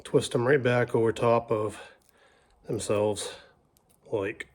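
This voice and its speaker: Male DIY host, medium pitch, colloquial and down-to-earth, talking through practical steps while his hands are busy. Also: steady tone, slow pace. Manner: folksy, colloquial. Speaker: host of a DIY how-to video. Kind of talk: casual hands-on tutorial talk while working.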